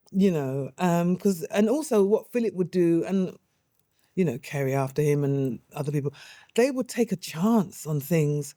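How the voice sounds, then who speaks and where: Warm, lively actress reminiscing. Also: female voice, on the low side, dry recording, studio quality. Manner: animated, laughing often, chatty. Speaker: actress and playwright. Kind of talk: interview, reminiscing.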